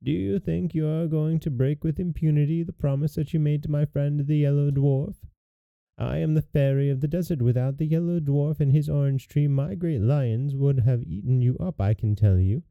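A man's voice: a little high, moderate pace, creaky, clean tone, voice pushed up. A man voicing an ancient, ugly old woman fairy in a storybook, cackling and threatening as she scolds. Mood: menacing. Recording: roomy, narrow phone-like sound.

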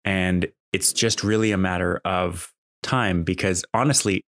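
Low-voiced man, casual monologue. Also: steady tone, unhurried, a bit bright, no room sound, clean studio sound. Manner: conversational, exasperated complaining. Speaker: podcast host.

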